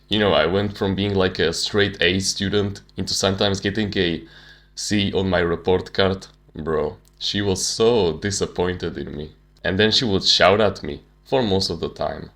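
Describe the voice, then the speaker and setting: Deep-voiced young man. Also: a bit bright. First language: Slovak. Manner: casual, slangy, candid. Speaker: self-improvement channel host. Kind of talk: personal storytelling monologue.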